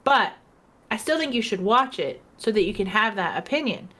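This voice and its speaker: A woman's voice: medium pitch, expressive, normal speed, fairly bright tone. A woman co-hosting a podcast in casual chat, rambling with lots of fillers and giggles, tipsy and socially drained. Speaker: podcast co-host.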